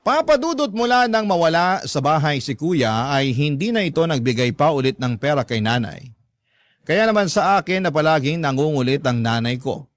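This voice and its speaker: A man's voice: medium pitch, no echo, clean studio sound, lightly acted. A young man with a Filipino accent voicing a forgiving letter sender, narrating his family story politely and sincerely. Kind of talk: dramatized first-person narration.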